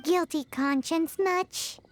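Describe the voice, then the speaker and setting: A woman's voice: extremely high pitch, takes it slowly. A woman voicing a tiny, chatty, cheeky childlike fairy companion in a cartoonish video game style. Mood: suspicious. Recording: game music behind the voice.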